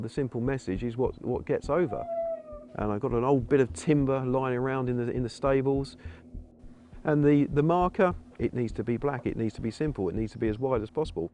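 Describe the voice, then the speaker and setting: Loud, emphatic protesting man. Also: medium pitch, slight background noise. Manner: forceful, emphatic with restarts.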